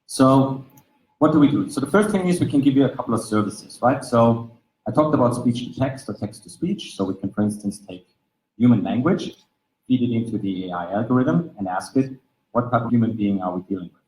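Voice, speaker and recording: A man's voice: mid-range voice, animated, brisk, darkish tone, echoey room, rough recording. A man from Austria with an Austrian German accent, German as his first language, giving a conference talk in an explanatory manner, leaning on fillers like "basically" and "right?". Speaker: conference speaker. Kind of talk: conference presentation. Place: conference venue.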